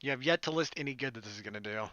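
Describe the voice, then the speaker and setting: A man's voice: mid-range voice, rapid-fire, pushed and bright, no echo, highs cut off. A man doing let's play commentary on a game, reading narration aloud and adding jokey, sarcastic asides. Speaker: gaming let's player.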